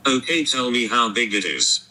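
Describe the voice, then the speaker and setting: A man's voice: mid-range voice, expressive, normal speed, bright, forceful tone, rough recording. A synthetic, robotic male voice confronting someone on a call with blunt, clipped, insistent sentences.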